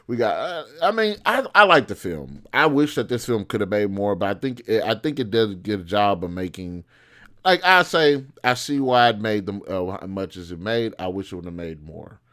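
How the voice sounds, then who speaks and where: An American man with a fairly low voice hosts a film commentary show. He talks off the cuff in colloquial language, giving blunt opinions and often correcting himself as he thinks aloud. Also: animated, normal speed, sharp tone. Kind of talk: casual, off-the-cuff movie commentary.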